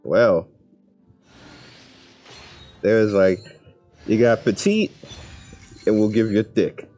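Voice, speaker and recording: Low, slow-talking man, casual. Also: steady tone, dark tone, faint music behind. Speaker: gaming video creator. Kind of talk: casual gameplay commentary, thinking aloud.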